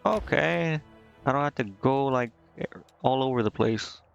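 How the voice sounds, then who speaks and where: A man's voice: medium pitch, measured pace, gravelly, clear, resonant tone, muffled recording. A man doing casual live game commentary, thinking aloud to himself in slangy, indecisive mutters. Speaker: game streamer. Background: game music.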